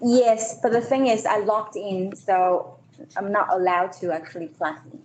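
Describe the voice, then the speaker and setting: A woman's voice: medium pitch, normal speed, a little mellow. A woman from China and Malaysia giving an informal business presentation to a meeting. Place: meeting room, hybrid event. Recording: echoey room, faint noise.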